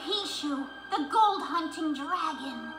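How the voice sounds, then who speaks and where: Cartoon girl duck, eager know-it-all, extremely high voice, loud music underneath. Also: animated, takes it slowly, roomy sound. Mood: awed. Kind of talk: cartoon character acting in an audio storybook.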